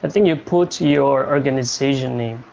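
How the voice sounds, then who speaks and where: A young man from Indonesia hosting a casual livestream chat, talking in a halting way with many fillers and restarts. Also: mid-range voice, creaky, darkish tone, a little hiss or hum, low-quality recording. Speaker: livestream host.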